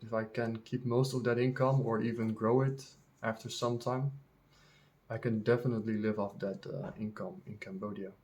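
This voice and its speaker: A Dutch man in his thirties, a native Dutch speaker talking in English, vlogging in a casual unscripted monologue full of ums, pauses and restarts. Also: on the low side, level tone, a little mellow. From Netherlands. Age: 30s. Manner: halting, many ums and restarts.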